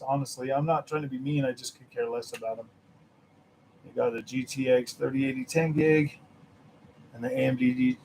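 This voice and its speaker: A young man hosting a gaming channel, chatting casually with his viewers, animated and laughing, his voice a little worn from nearly losing it. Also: a little hiss or hum, low-quality recording. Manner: animated, laughing, mimics others in a high voice. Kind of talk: casual livestream chat with viewers.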